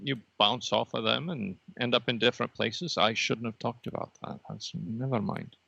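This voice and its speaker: A man's voice: medium pitch, moderate pace, gravelly, bright, forceful tone, breathy edge, no echo, highs cut off, full character voice. A man playing a chatty, teasing being of light in a comedy podcast, hesitant and matter-of-fact. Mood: earnest, chatty. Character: chatty, teasing red laser dot, an energy being. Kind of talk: improvised comedy podcast character.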